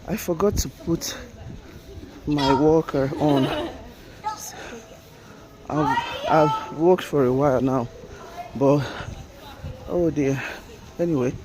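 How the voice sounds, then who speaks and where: A man with a Nigerian accent, an English teacher chatting casually while walking and laughing now and then. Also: medium pitch. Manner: chatty, laughing, drops in Japanese fillers. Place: outdoors by a road. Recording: outdoor traffic noise.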